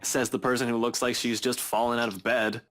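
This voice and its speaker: A man's voice: mid-range voice, little pitch movement, a little fast, a bit bright, soft background music, lightly acted. An actor playing a dry, teasing, slightly awkward young man in a visual novel. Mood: dry sarcasm. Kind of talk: visual novel game voice acting.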